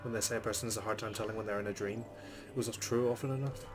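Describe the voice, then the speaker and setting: A man's voice: on the low side, steady tone, racing. A man hosting a let's play, reading game narration aloud dramatically and adding muttered, sweary asides. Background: game music.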